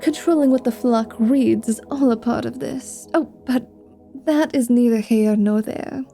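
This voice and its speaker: A woman voicing a flirtatious, mischievous vampire paladin in an ASMR roleplay, with a teasing, drawn-out delivery. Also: fairly high pitch, gravelly, warm tone, soft background music. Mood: polite, demure. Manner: slow, teasing, sultry delivery with drawn-out words.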